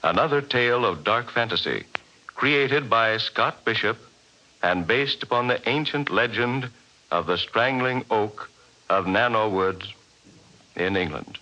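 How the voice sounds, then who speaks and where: A man announcing credits in a crisp, formal 1940s radio style. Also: fairly low pitch, expressive, unhurried, bright, forceful tone. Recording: dull old radio broadcast.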